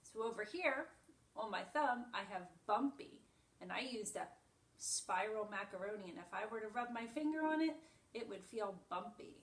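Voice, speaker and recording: A woman's voice: mid-range voice, lively intonation, normal speed. A woman preschool teacher explaining simply and reading a story aloud for young children. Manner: simple, explanatory, demonstrative phrasing for small children. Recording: echoey room, distant microphone.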